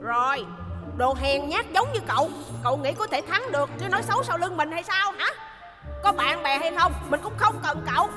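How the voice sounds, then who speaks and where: A woman's voice: very high voice, expressive, fairly quick, over music. A woman voicing a cocky, hot-tempered boy racer in a cartoon dub, brash and defiant. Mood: angry, defiant.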